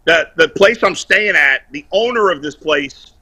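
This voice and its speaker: Male sports panelist, opinionated and loud, animated delivery, slightly high pitch, informal remote call-in. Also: unhurried, vocal fry, slightly rough. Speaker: sports talk show panelist calling in from vacation. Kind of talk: casual sports banter and opinion. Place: remote video call while on vacation.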